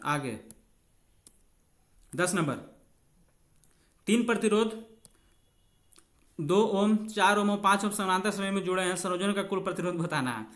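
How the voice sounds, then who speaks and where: A male teacher with a notably high voice. He works through exam questions step by step in two languages. His way of speaking is informal, addressing students like friends. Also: a little slow, long pauses, a bit bright. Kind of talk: bilingual exam lesson, explaining questions step by step.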